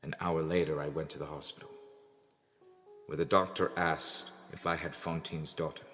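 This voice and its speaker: A man's voice: very deep voice, level tone, moderate pace, pushed and bright, faint music behind. A man playing a guilt-ridden ex-convict turned town benefactor in a first-person audio drama monologue, questioning and justifying himself in a theatrical, agonized manner. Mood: grave, dread. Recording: long hall-like reverb.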